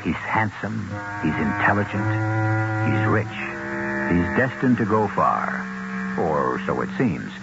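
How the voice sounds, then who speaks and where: A man with an American accent hosting a 1970s radio mystery, narrating in a theatrical, deliberate, ominous manner. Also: fairly low pitch, sing-song, gravelly, muffled recording, heavy background noise. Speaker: host of radio mystery series.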